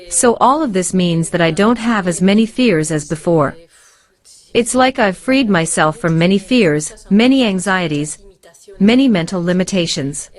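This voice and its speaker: A woman translator answering interview questions in a reflective way, repeating phrases for emphasis. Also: on the low side.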